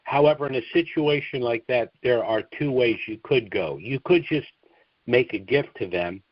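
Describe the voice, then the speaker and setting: Low-pitched man on a dull-sounding call. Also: moderate pace, slightly rough, dry recording. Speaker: host of a conference call. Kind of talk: business conference call with Q and A.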